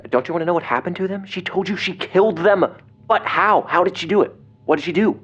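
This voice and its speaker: A man playing an agitated investigator in an audio drama, speaking in a grave, halting, intense way over a phone. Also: sits high, a bit bright. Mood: urgent revelation. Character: agitated male investigator relaying grim secret documents by phone. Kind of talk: audio drama acting, partly reading documents aloud. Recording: dull lo-fi phone call, clear noise.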